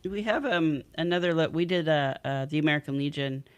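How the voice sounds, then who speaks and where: A woman from northern California with a husky, worn voice, a guest paranormal investigator chatting casually with a rambling, hesitant manner. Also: a little fast, dry recording. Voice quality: husky, lowered voice. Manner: relaxed, rambling, hesitant with fillers. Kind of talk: casual chat.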